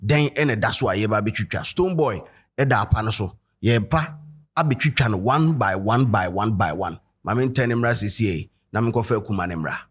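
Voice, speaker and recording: A man's voice: on the low side, expressive, moderate pace, husky. A man with a Ghanaian accent hosting a casual editorial show, talking loosely with many fillers and switching between English and Twi. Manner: chatty, loose, full of fillers and code-switching. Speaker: host and commentator.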